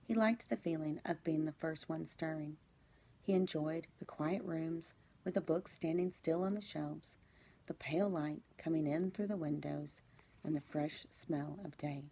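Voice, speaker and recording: Female storybook reader, teacher type: lower-pitched, mellow tone with some gravelly creak, expressive delivery. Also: a little slow, a little hiss or hum. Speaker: second-grade teacher reading aloud. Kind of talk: children's storybook narration.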